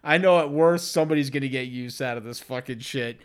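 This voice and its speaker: A man's voice: medium pitch, fairly quick, clean tone. A man in his forties hosting a comedy podcast, chatting casually in a profane, rambling way. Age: 40s. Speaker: podcast host.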